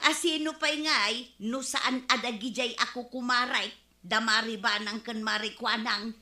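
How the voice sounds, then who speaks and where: Casting: boastful, scheming Ilocano village woman for radio comedy, high and very sharp voice, roomy sound. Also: steady tone, unhurried. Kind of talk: Filipino comedy radio drama acting. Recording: roomy, echoing studio sound.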